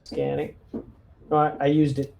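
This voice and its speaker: A man chatting casually as a player in a tabletop roleplaying game, thinking out loud in a tentative way. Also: fairly high pitch, monotone, normal speed, a little hiss or hum.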